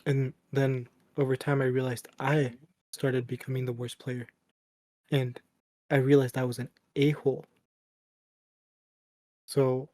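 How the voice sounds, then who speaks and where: A young man co-hosting a casual podcast, talking in a flat, deadpan monotone. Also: medium pitch, no room sound. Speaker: podcast co-host. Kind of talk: casual chat.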